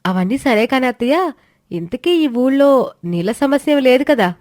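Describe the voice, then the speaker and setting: A woman's voice: sits high, animated, moderate pace, a little mellow, no room sound, clean studio sound, pitched above the performer's own voice. A woman voicing a clever, concerned young daughter-in-law, respectful and earnestly questioning, in a moral story.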